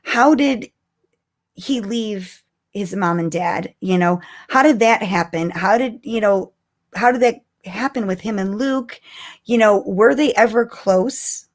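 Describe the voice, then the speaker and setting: A woman's voice: mid-range voice, slow. Woman in casual, emphatic fan discussion. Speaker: podcast co-host.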